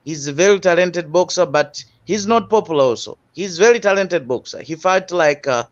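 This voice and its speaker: Man, fairly high voice, halting talk with many fillers, muffled lo-fi call audio. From Tanzania. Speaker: guest panelist. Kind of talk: casual boxing discussion.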